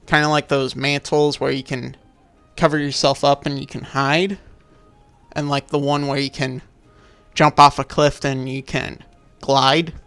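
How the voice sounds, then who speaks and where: A man's voice: mid-range voice, little pitch movement, unhurried, fairly bright tone, clear, resonant tone, dry recording, faint music behind. A man giving casual, rambling game commentary as a video maker, dismissive and freely swearing.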